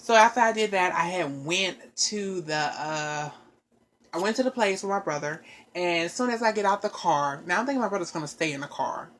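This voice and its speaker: Chatty woman with a lowish voice. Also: a little fast. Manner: rambling, animated retelling with asides. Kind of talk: casual storytelling chat.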